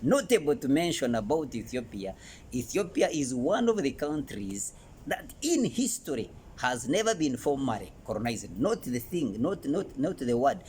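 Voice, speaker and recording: Ugandan male educational presenter, emphatic and halting delivery, mid-pitched voice, some background noise. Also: very animated, darkish tone. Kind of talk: informal educational presentation to camera.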